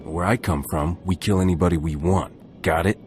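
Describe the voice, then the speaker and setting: An actor playing a ruthless, sardonic smuggler captain in a video game, cocky and coldly threatening. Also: male voice, deep voice, steady tone, normal speed, darkish tone, faint music behind, natural voice. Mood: cold menace.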